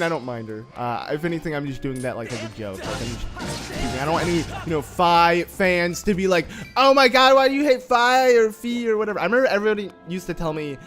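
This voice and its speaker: A young man chats his way through a video game with a slightly raspy, somewhat high voice, teasing and joking at his own expense. Soft game music plays faintly beneath him. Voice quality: slightly raspy from just waking. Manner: joking, self-mocking, chatty with frequent fillers. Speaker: gameplay commentator. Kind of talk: casual let's-play commentary.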